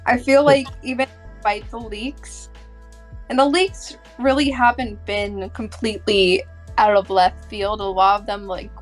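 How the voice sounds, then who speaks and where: A woman chatting casually on a group podcast, explaining things in a halting way. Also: medium pitch, fairly even intonation, faint music behind.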